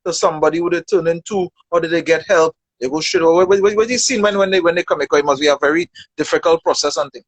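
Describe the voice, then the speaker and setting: Trini guy hosting a live chat, quick and high, dry sound. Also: husky, no echo, poor audio. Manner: brisk, teasing questions. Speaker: co-host. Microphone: online live stream.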